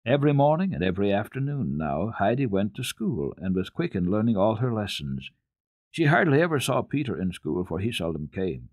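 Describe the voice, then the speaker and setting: A man with a fairly low voice reads a children's story for radio. His delivery is dramatic and lively, rising and falling widely, with deliberate phrasing. The sound is dry and close. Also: no echo. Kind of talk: dramatic reading of classic children's literature.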